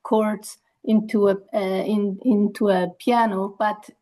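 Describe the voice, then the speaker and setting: A woman taking part in a webinar, asking the presenter questions in a hesitant, halting way. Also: mid-range voice, little pitch movement, dark tone. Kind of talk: casual questions.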